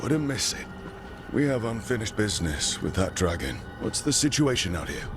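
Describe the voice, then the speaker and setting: Deep, determined hero commander. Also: male voice, sing-song, moderate pace. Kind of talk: video game voice acting. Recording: music behind the voice.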